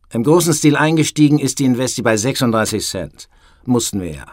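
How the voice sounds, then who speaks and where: An actor playing a self-justifying ex-top manager in a radio play, speaking in a self-assured, deliberate way. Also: male voice, medium pitch, no room sound, clean studio sound, natural voice. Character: self-justifying male ex-top manager, bank board chairman. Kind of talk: radio play, interview-like statement.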